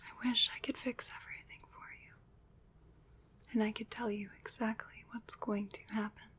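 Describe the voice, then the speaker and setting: A woman playing a gentle, consoling witch in an ASMR roleplay, whispering breathily in a slow, soothing, deliberate way. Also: on the high side, level tone, lightly acted. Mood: tender reassurance.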